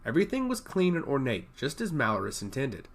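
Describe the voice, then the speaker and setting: A man's voice: medium pitch, huge pitch swings, brisk. A man reading a scripted fantasy story aloud as its narrator.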